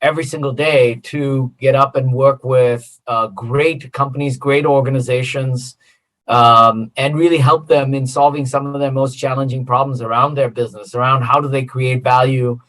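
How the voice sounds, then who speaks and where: A middle-aged man from the United States, a consultant guest answering interview questions in a relaxed, friendly way with many fillers. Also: mid-range voice, normal speed.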